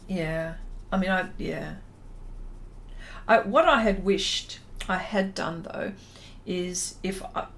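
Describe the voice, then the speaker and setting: A middle-aged woman with an Australian accent hosts an informal livestream. Her voice sits at an average pitch for a woman, and she talks in a chatty, meandering way, often leaving thoughts half finished. There is faint noise in the recording behind her. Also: fairly bright tone. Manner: chatty, rambling, trailing off mid-thought. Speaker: livestream host, artist. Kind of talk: casual livestream chat, reading out viewer comments.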